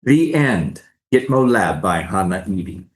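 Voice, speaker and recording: A man's voice: on the low side, sing-song, a little slow. A man reading out scripted stage directions as narrator of a play.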